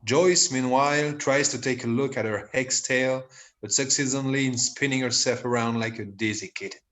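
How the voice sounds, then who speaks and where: A man's voice: medium pitch, fairly even intonation, moderate pace, a bit bright. A man giving scripted narration, reading out the stage directions of a comedy play.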